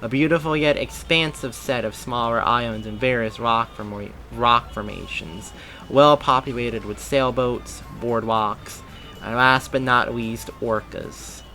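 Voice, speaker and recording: A man narrates a story aloud in an even reading voice. His tone is bright and forward, his pitch middling, with faint music playing underneath. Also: unhurried. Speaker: reader of fan fiction.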